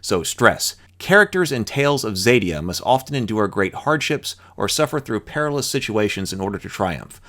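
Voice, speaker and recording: Male host with a lowish voice for a rules walkthrough, mixing read-aloud text with informal commentary. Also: sing-song, normal speed, few pauses, fairly bright tone. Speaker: host reading and explaining game rules. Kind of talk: reading rulebook aloud with casual commentary.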